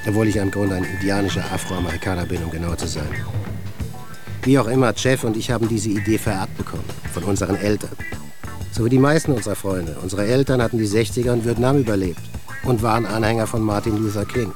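Resistance veteran, male actor: lowish voice, reflective and earnest, radio drama testimony over music. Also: fast, natural voice. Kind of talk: radio drama, interview-like recollection. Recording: music under the voice.

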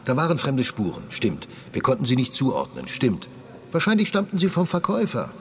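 A man's voice: medium pitch, huge pitch swings, brisk. A man playing a blunt, exasperated but protective police detective in a radio drama, with emphatic, insistent delivery. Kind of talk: radio drama dialogue in a flashback. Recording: long reverb, faint ambient noise.

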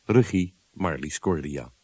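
Slow, low-voiced male radio announcer. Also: animated, a little mellow, husky, no room sound. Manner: measured, formal. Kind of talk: formal scripted credits reading.

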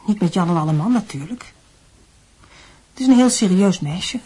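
A woman's voice: medium pitch, lively intonation, moderate pace, warm tone, husky. An actress playing a soothing middle-aged mother of a grown son in a radio drama, with a placating manner. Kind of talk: radio drama acting in Dutch.

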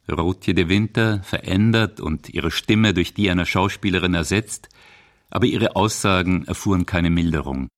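A man giving formal, measured scripted narration for a radio documentary. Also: low voice.

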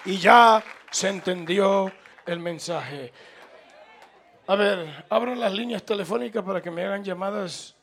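A man's voice: high voice, measured pace, gravelly, a bit bright, some chatter behind. A man with a Latin American Spanish accent preaching an impassioned, informal sermon, emphatic and repetitive, prodding his listeners to respond. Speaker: preacher taking questions from congregation.

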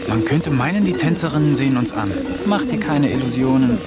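Sardonic male diary-narrator in a radio play, middle-pitched, loud music bed underneath. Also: animated, fairly quick. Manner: wry, sardonic and brooding. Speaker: first-person narrator. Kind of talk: scripted radio drama narration.

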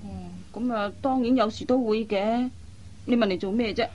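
A woman's voice: on the high side, fairly even intonation, moderate pace, slight background noise, close to an everyday voice. A woman playing a sensible, patient young schoolteacher and elder sister in a Cantonese radio drama, mildly chiding. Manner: mild, gently chiding. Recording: dull, muffled lo-fi recording.

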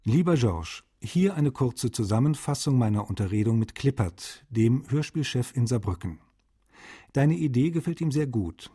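A fatherly friend reading his letters, mellow n a bit rough, gently nagging, radio feature. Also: mid-range voice, expressive, moderate pace. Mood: concerned, businesslike.

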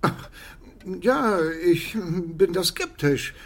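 Anxious, high-pitched, needy man. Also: very animated, takes it slowly, a bit bright, heavily acted. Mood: uneasy. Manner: nervous, insistent, stammering repeats. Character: anxious, needy man who dreads the plague ending. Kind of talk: radio drama acting.

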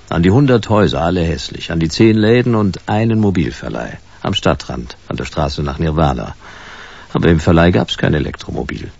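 A world-weary private detective in a sci-fi noir radio play. The voice is very low and gravelly, swinging widely between dry, clipped irony and drawn-out phrases. The recording is slightly dull. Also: male voice, darkish tone, exaggerated voice. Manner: laconic, dry, sardonic. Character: world-weary, wry hard-boiled male private detective. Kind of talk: German radio play noir acting and first-person narration.

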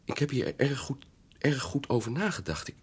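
An actor playing an earnest, lovesick young doctor in a radio drama, stammering and husky as he woos. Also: medium pitch, very animated, normal speed. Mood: nervous pleading. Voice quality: very breathy, husky.

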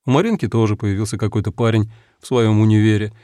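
A man narrating a first-person story in a calm, reflective, measured way. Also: fairly low pitch, dry recording, studio quality. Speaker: audiobook narrator.

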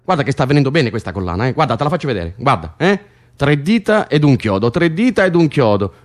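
Guy acting worn out prisoner in a radio play, talks fast, pushy, swears a lot, kinda wry. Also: mid-range voice, sing-song, lightly acted. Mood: exasperated, urging. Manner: foul-mouthed, exasperated, insistent. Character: weary, driven male political prisoner, bossy and wry cellmate. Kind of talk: Italian radio drama acting.

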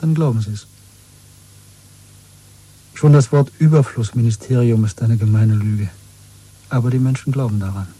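An actor playing a weary, bitter, quietly defiant dissident in a radio drama, husky and intimate, speaking slowly and broodingly. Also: male voice, mid-range voice, lightly acted, rough recording. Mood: bitter conviction. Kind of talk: 1970s German radio play acting.

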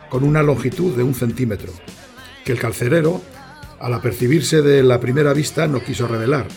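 A man's voice: mid-range voice, gravelly, a little mellow, natural voice. A man playing a storyteller who recounts a historical account formally in an audio play. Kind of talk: scripted dramatic narration in an audio play.

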